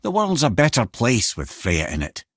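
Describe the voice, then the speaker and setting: A man's voice: medium pitch, wildly swooping pitch, normal speed, husky, dry recording, studio quality, heavily acted. An actor voicing a wise, wry older Scottish man, a talking severed head in a video game, with a theatrical, folksy manner. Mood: consoling. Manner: wry, theatrical, folksy, addresses listeners as brother and lad. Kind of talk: expressive video game character acting, storytelling and banter.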